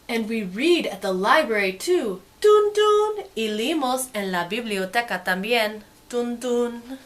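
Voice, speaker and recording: A woman presenting a bilingual picture-book read-aloud with casual commentary, expressive when reading and softer when commenting. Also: a little high, measured pace.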